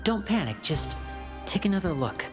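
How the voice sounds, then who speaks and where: A woman playing a guarded, analytical and protective young male team leader in a video game, speaking in a measured, serious way. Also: fairly low pitch, big pitch swings. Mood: analytical, composed. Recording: game dialogue over clear music.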